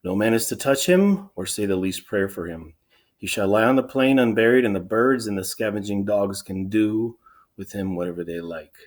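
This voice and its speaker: A man's voice: fairly low pitch, moderate pace, close to an everyday voice. A man playing a stern, unbending king, declaiming formally and emphatically in a dramatic reading of Greek tragedy. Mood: commanding.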